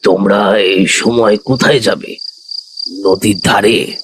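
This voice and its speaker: A man playing a fatherly, fearful older village uncle in an audio horror story, speaking gravely and dramatically. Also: lowish voice, fairly even intonation, background noise. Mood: fatherly concern. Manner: grave, dramatic, slow warnings with repeated words. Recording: reverberant, with loud music bed.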